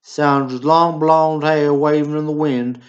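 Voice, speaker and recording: A man acts out an awkward, nervous small-town fellow in a radio drama. His voice sits high, and he sounds earnest, pleading and easily worked up. The recording is a little dull and lo-fi. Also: steady tone, unhurried, natural voice. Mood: wistful, worked up. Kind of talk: stage-play acting in radio drama.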